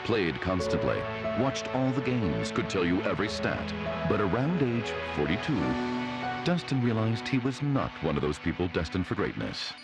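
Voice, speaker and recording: A man's voice: on the low side, very animated, normal speed, low-quality recording. A man narrating a humorous scripted story ad in a mock-serious manner. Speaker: commercial narrator. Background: loud music.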